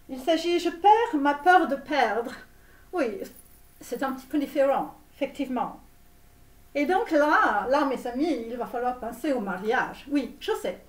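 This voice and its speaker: A woman playing a comic online tarot reader with a put-on Romanian accent, insistent and repetitive, halting theatrically as she reassures her viewers. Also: high-pitched. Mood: mock fearful, then pushy, reassuring. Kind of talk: parody tarot reading spoken to viewers. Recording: roomy echo, distant microphone.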